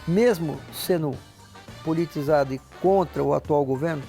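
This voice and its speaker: A man hosting a TV interview, his voice gravelly and creaky, feeling his way through each question slowly with restarts. Faint music sits behind him in the studio. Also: a little high, mellow. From Brazil. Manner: slow, searching questions with many restarts. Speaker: TV host.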